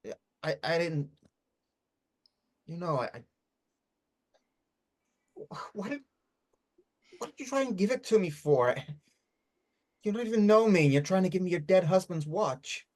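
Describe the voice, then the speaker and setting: Male actor as bitter down-and-out addict, slightly high voice, agitated, defensive, stammering, stage drama. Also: lively intonation, moderate pace, pauses often, a little mellow. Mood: flustered. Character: bitter, worn-out homeless male opioid addict in despair. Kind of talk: script reading of a stage drama.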